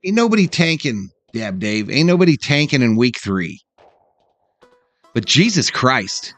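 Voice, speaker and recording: A man's voice: mid-range voice, very animated, a little slow, fairly bright tone, dry recording, faint music behind. A man hosting a sports live stream, giving casual fan play-by-play with sarcastic, sweary reactions.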